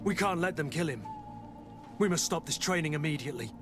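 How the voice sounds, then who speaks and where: A man's voice: fairly high pitch, lively intonation, a little fast, over music, close to an everyday voice. An actor playing an honour-bound soldier captain in a video game, protective of his recruits, solemn and intense. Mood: anguished resolve.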